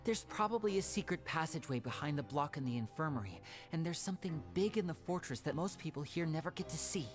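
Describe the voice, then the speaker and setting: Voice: medium pitch, animated, fast, hardly any pauses, lightly acted. An actor voicing a composed, clever young man in an anime game, articulate and analytical, protective of his own. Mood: thoughtful. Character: composed, clever young male stage magician, protective brother. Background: game music.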